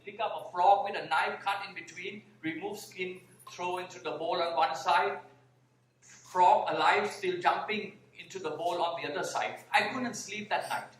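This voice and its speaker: A man with a Thai accent giving a slow, deliberate talk on a conference panel, sometimes repeating his words. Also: high voice, fairly bright tone, a little hiss or hum. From Thailand (Bangkok). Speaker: panel speaker, vegan activist. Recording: echoey hall, mic heard from far.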